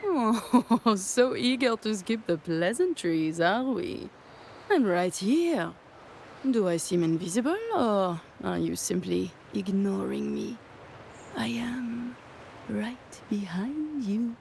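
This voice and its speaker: A woman's voice: medium pitch, animated, takes it slowly, vocal fry, a little mellow, slight background noise. An actress voicing a theatrical, teasing noblewoman who runs a mask and costume shop, speaking in a playful, flirtatious way. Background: faint game music.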